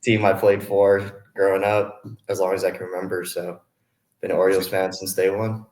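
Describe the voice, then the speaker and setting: Deep-voiced young man in an echoey room. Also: level tone, normal speed. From Hawaii, USA. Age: 20s. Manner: easygoing, mellow. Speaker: college baseball player, interview guest. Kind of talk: casual interview chat. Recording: roomy, echoing sound.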